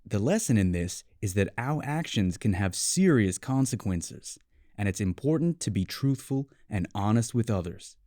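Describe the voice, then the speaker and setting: A man with an American accent reading a scripted storybook tale for English learners in clearly phrased, measured storytelling. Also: lowish voice, lively intonation, dark tone, no room sound, studio quality. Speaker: story narrator.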